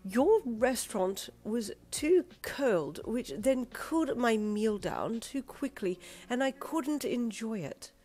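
A woman's voice: on the high side, lively intonation, slow, few pauses, warm tone, pitched above the performer's own voice. A woman voicing a petty, entitled woman diner who complains haughtily and draws out her words. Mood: haughty.

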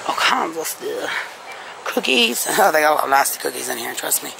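A woman's voice: sits low, sing-song, fairly quick, creaky. A woman vlogger chatting casually to her viewers as she browses the aisles of a large store, filmed on a handheld camera. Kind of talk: casual shop-along commentary. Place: inside a large discount store. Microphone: handheld camera carried while walking. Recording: store background noise. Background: store ambience.

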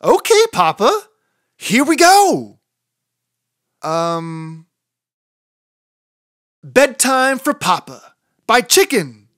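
Storybook little chick, female, played by a woman: impulsive, excitable, pleading, with high leaps in pitch. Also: very slow, long pauses, no echo, studio quality, heavily acted. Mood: eager. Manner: excitable, pleading, blurts out warnings.

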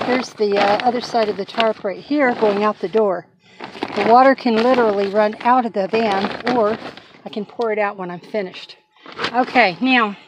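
A woman's voice: on the high side, noisy background. Chatty older woman in a van. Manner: chatty, folksy, apologetic asides. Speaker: van-dwelling vlogger. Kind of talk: casual how-to demonstration. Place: inside a cargo van. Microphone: handheld phone camera.

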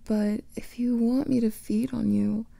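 A young woman voicing a teasing female vampire lover in an ASMR roleplay, whispering softly close to the microphone. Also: fairly high pitch, steady tone, unhurried, dry recording, full character voice. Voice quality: breathy whisper with vocal fry.

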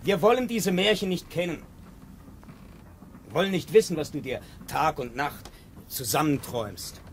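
An actor playing a bitter, scornful grandson in an early 1950s radio play. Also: male voice, fairly high pitch, moderate pace, lightly acted. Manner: scornful, impatient. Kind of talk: 1950s radio drama acting. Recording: hissy old 1950s radio tape.

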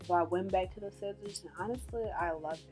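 A woman in her twenties with a dry, deadpan voice, chatting casually through a hair tutorial as a beauty vlogger. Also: lowish voice, fairly quick. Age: 20s. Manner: monotone, deadpan delivery. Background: background music.

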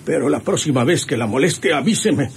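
A man's voice: fairly high pitch, expressive, fairly quick, vocal fry. A man playing a protective, hot-tempered older ranch hand, rustic and deferential, with a Mexican accent in a radio drama. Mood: threatening. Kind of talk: radionovela acting. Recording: noisy old radio serial recording.

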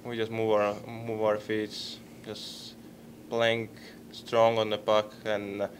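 A young man in his twenties with a Czech accent, answering postgame questions at a press table microphone, halting and searching for words. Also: on the low side, monotone, a little hiss or hum. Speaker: hockey player at a postgame press conference. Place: press conference room.

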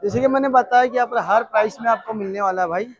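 Mumbai guy vlogging on his phone, high voice, chatty n repetitive, slightly muffled sound. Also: fast. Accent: Mumbai Hindi. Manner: repetitive, informal, addressing viewers as brother. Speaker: vlogger touring a wholesale fruit market. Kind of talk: casual vlog commentary, asking vendors prices. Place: large covered wholesale fruit market. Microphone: handheld phone.

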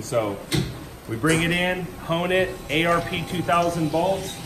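A man in his thirties leading a group through a large indoor machine shop, explaining each station as he walks, recorded on a camera a few feet away. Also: on the high side. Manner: explanatory, points things out to a group. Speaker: shop tour guide. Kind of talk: walking shop tour explanation. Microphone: handheld camera from a few feet away. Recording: echoey shop with loud machine and air noise. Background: machinery and ventilation hum.

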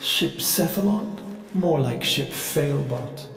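An actor playing an anxious, servile ship computer with a fretful, over-polite, theatrical manner and a synthetic processed voice. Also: male voice, on the high side, animated, takes it slowly, gravelly, slight background noise, full character voice. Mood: dejected, apologetic. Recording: echoey hall reverb, music behind.

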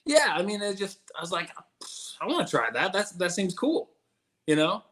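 A young man in a casual interview over a video call from home, telling his story in a relaxed way with many fillers. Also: high-pitched, normal speed. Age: young adult. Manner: laid-back, many "uh"s. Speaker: guest stuntman. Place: room at home.

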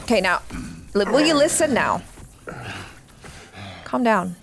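A woman gives casual reaction commentary, talking back at the screen in exclamations. Her intonation is lively and her pace unhurried, with loud music behind her. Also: medium pitch, gravelly. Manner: talks back to the characters, exclaiming. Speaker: reaction video host. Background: loud game music and sound.

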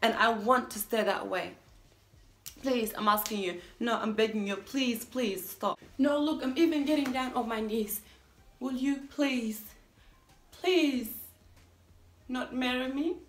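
Woman acting a dramatic, high-voiced pleading bride-to-be, echoey room. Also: takes it slowly, pauses often. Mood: exasperated begging. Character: self-declared mean young woman, panicked by a marriage proposal. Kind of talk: theatrical stage monologue.

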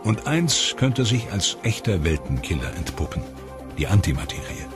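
A man with a very low, deep voice reads documentary narration in a measured, dramatic way. It is a clean studio voice-over with clear music running underneath. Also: big pitch swings. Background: clear background music.

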